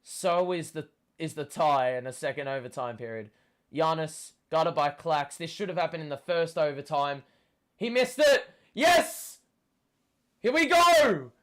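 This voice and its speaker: A young man hosts a live basketball watchalong, his voice worn hoarse and cracking. He speaks slowly and a little high, swearing freely and bursting into yells when a play swings. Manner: excitable, swearing, shouts at big plays. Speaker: host.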